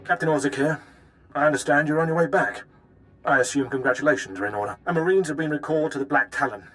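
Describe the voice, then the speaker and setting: A man's voice: on the high side, fast talker, fairly bright tone, close to an everyday voice. A man playing a dutiful imperial starship captain in a science fiction game, clipped and military in manner. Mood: brisk.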